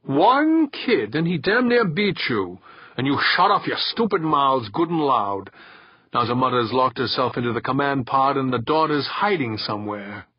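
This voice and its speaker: A man's voice: mid-range voice, fairly quick, hardly any pauses, dry recording, muffled recording, natural voice. A man voicing a domineering space-pirate skipper in an audiobook, with a scornful, commanding grumble.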